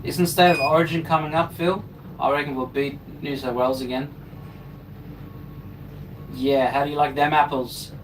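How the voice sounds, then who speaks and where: A man's voice: fairly high pitch, steady tone, moderate pace, long pauses. A man originally from Germany, working as a crane operator and chatting casually with viewers of his live stream in a joking, laid-back way. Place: crane cab. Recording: distant mic with clear background noise.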